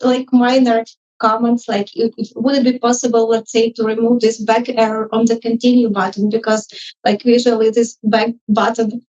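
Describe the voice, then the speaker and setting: A woman's voice: on the high side, level tone, normal speed, darkish tone. A woman who is not a native English speaker, giving informal feedback from the audience in a hesitant, tentative, apologetic way. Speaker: audience member, junior UX designer. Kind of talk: informal spoken feedback and questions. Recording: muffled live event audio, distant mic.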